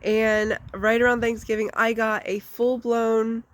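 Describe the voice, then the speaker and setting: A woman's voice: a little high, level tone, a little slow. Chatty woman with a stuffy nose. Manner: chatty, candid, with frequent fillers. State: congested from a flu. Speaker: vlogger. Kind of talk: casual personal life update.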